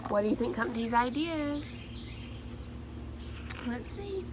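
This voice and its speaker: Slow, gentle woman reading a picture book. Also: on the high side, expressive, background noise. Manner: slow, gentle storytelling with asides to listeners. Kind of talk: children's picture book read-aloud.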